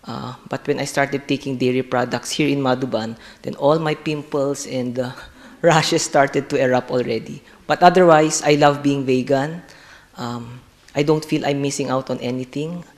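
A man with a middle-pitched voice speaks in a relaxed, easy way as he introduces himself to a group. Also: moderate pace.

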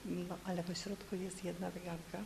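A woman from Lithuania whose first language is Polish, speaking quietly and hesitantly. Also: lowish voice, completely flat delivery, fast talker, creaky.

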